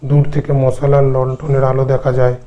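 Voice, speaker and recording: A man's voice: mid-range voice, completely flat delivery, normal speed, dark tone, lo-fi sound, faint music behind. A man narrating a scripted horror story as the narrator.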